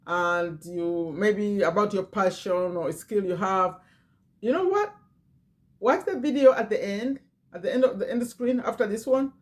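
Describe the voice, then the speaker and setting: A woman's voice: mid-range voice, a little mellow. Woman with a foreign accent, chatty. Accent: non-native English. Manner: chatty, repeats phrases, reads a list aloud. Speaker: business owner and channel host. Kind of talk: casual talk to camera. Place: Virginia, USA.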